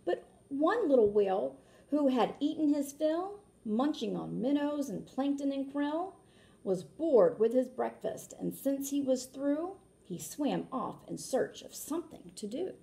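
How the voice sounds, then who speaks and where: Expressive woman reading aloud, gravelly creak. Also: high voice. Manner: animated, pausing to ask listeners questions. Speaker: teacher reading a picture book to children. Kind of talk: expressive read-aloud with explanatory asides.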